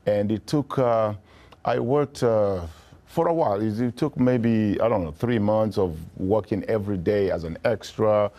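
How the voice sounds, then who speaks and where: Man, 50s, low warm voice, easygoing anecdotal interview guest. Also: measured pace. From Kenya. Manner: storytelling, relaxed, with restarts. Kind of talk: casual interview talk.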